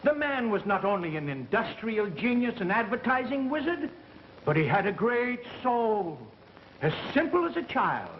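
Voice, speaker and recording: A blustering American executive from a 1930s picture, very high-pitched and swooping in intonation. He is pompous, emphatic and quick to boil over, on a dull old soundtrack. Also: sing-song. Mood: pompous, formal. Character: blustering, hot-tempered radio company boss, a pompous man. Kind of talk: 1930s Hollywood film acting. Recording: dull vintage 1930s film soundtrack, faint noise.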